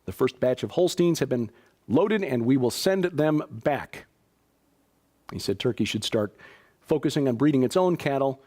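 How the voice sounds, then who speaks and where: A man's voice: mid-range voice, lively intonation, normal speed, darkish tone. A man from Chicago hosting a news show, reading stories and announcements in a conversational way, with fillers and dry asides. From Chicago, USA. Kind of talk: casual news commentary.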